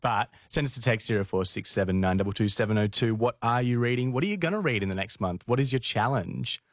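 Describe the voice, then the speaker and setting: A man's voice: mid-range voice, expressive, fast, no echo, studio quality. A man co-hosting a radio show in an easygoing, joking way, asking questions and reading out the text number. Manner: easygoing, laughs often. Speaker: radio co-host. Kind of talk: casual radio chat.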